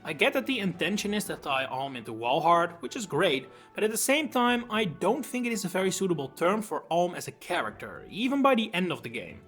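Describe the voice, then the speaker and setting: A young man with a Dutch accent, slightly high-voiced and highly animated, narrates his scripted video essay close to the mic. His delivery is enthusiastic, with wry little asides, and faint music plays softly underneath. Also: brisk, few pauses. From Netherlands. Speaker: YouTube host. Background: faint background music.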